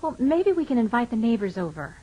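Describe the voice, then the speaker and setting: A good-natured young American wife and mother in a radio drama. Her voice sits fairly high and swings expressively as she chats in a light, teasing way. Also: sing-song, brisk, mellow, husky, dull audio. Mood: gently coaxing.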